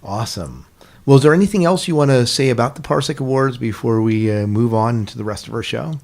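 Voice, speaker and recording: A man's voice: medium pitch, brisk, gravelly, a little mellow. A man hosting a casual podcast interview, affirming answers, recapping them and posing questions.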